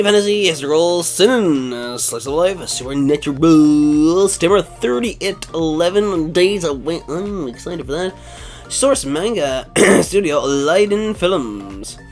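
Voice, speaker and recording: A man with a fairly high voice and a lively, bouncing delivery reads anime plot summaries, then muses aloud about them in a loose, chatty way. Faint music plays behind him. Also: a little slow. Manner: animated, wondering aloud. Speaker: anime YouTuber. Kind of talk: reading synopses and casual commentary.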